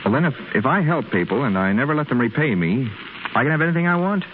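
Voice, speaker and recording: A man's voice: mid-range voice, sing-song, fast, lightly acted. An actor playing a young wealthy man who trained as a doctor, earnest and eager, with a slight stammer. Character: young wealthy man, former medical student, lovesick and eager. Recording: tinny noisy 1930s radio broadcast.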